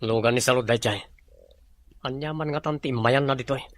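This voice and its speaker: A man plays an evasive fiancé and employer in a radio drama. His mid-pitched voice complains and deflects, recorded close and dry. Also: brisk, fairly bright tone, no echo, lightly acted. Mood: grumbling.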